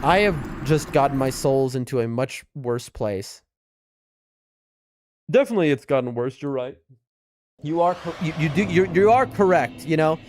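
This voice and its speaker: A man's voice: on the high side, expressive, normal speed, long pauses, a little mellow, a little hiss or hum. A man with a New York accent giving casual, rambling live game commentary and chatting to his viewers. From US East Coast. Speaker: game streamer. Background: music.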